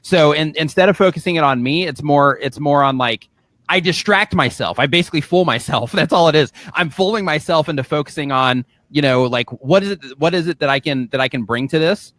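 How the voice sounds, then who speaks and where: A man co-hosting a live show, chatting casually in a halting, rambling way with repeated words. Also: a little high, fairly bright tone, no room sound. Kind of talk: casual, rambling advice chat.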